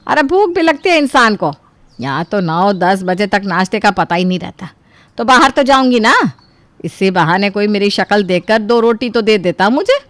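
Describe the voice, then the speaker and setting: A woman playing a middle-aged, self-pitying, sarcastic mother-in-law in an animated story, scolding and complaining dramatically. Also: mid-range voice, moderate pace, dry recording, close to an everyday voice. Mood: aggrieved, sarcastic. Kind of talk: animated story character dialogue.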